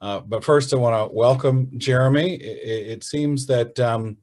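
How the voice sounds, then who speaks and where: A man hosting a virtual interview, speaking casually and haltingly with many "uh" and "um" fillers and restarts over a video call. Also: mid-range voice, a little mellow. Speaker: radio host and interviewer.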